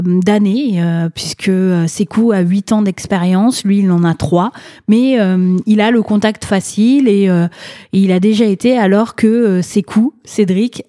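French woman host, mellow dry voice. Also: medium pitch, no room sound. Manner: hesitant, many fillers. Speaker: film show host. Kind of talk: casual film review chat.

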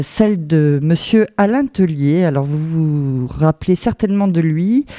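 A woman hosting a radio show, asking the guest brisk, practical questions and introducing the next segment. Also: lowish voice, mellow, dry recording, studio quality. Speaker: radio host.

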